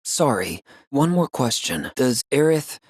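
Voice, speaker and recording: A man's voice: medium pitch, a little slow, slightly rough, no room sound, studio quality. A man playing a brave, cocky young soldier hero in a video game, casual and earnest, loyal and defiant. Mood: pensive. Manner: casual, wisecracking, earnest, expressive.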